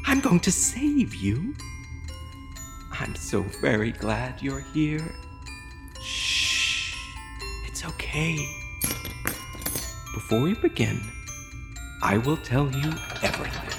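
A lonely, menacing creature of a gothic radio play. It hushes and confides haltingly, at a slow pace. The pitch swoops widely, over a bed of music and chimes. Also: big pitch swings. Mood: soothing, eerie. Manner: soothing, hushing, halting and confiding. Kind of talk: audio drama monologue.

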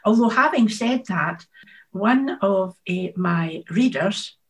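A woman, an author answering interview questions in a thoughtful, measured way. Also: mid-range voice, expressive. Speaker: author, guest.

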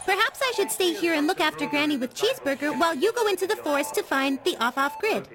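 A woman's voice: high voice, animated, fast. A woman voicing a prim, formal young female companion who speaks with stiff, precise diction.

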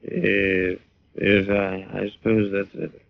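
An actor playing a drunken, weary, gloomy drifter with a gravelly, halting voice in a 1940s radio drama. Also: male voice, deep voice, little pitch movement, dry recording, full character voice. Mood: gloomy, fuddled. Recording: dull old radio recording.

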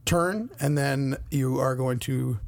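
A man co-hosting a board game podcast, losing his voice a bit and explaining rules in a rambling, halting way with many fillers. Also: medium pitch, creaky, darkish tone. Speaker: podcast co-host.

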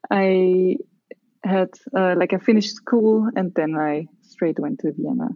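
Low-voiced Austrian woman, dull recording. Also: takes it slowly, no echo. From Carinthia, Austria. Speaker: interview guest, artist. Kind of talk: casual chat. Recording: dull lo-fi sound.

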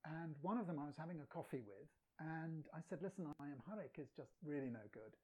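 Guy from england telling stories in an interview, warm mellow voice, laughs a lot as he goes. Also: fairly high pitch, lively intonation, fast talker, husky, rough recording. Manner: chatty, laughs while telling anecdotes. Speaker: guest poet and translator. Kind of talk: casual storytelling.

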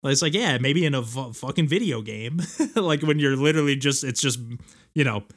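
A man's voice: mid-range voice, animated, moderate pace. An American man hosting a casual podcast chat in an informal, slangy way. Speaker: podcast host. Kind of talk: casual group chat about games and sports.

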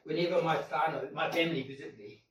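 A New Zealand man with a notably high voice chats away hospitably and laughs easily. He is recorded from well back in an echoey home kitchen, so the voice sounds distant and roomy. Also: quick pace, crowd noise. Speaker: host. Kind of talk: casual chat over tea. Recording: echoey room, far from the mic.

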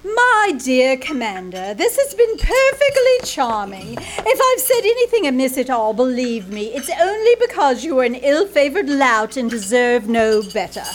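A woman playing a zany, sharp-tongued, self-dramatizing Hollywood actress in old-time radio comedy, performed live on stage. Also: high-pitched, very animated, moderate pace, hardly any pauses, slight background noise. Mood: grandly insulting. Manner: melodramatic, mock-grand, insulting.

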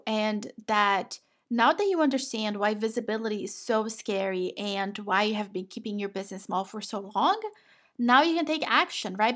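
Woman, Brazilian Portuguese accent, slightly high-pitched, encouraging and affectionate motivational podcast host. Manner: encouraging, affectionate, addresses listener as "my love". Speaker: podcast host and women's business coach.

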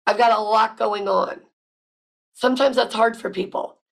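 A woman hosting a podcast, talking with loud, emphatic energy and repeating words when she stresses a point. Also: a little high, steady tone, normal speed, gravelly. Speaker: podcast host. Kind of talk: casual podcast monologue.

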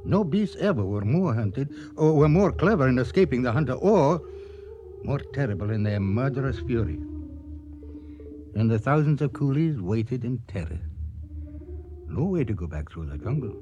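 A man's voice: mid-range voice, very animated, moderate pace, dark tone, close to an everyday voice. A man narrating a radio drama as a grave storyteller, solemn and emphatic. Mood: grave, foreboding. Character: grave male storyteller recounting a deadly hunt. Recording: slightly dull radio track with music underneath.

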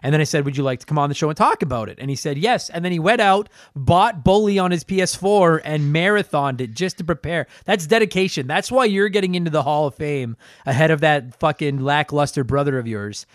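A man with a high-pitched voice hosts a gaming podcast in relaxed, casual chat. He talks with eager enthusiasm and swears freely. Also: fairly quick, few pauses. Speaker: podcast host.

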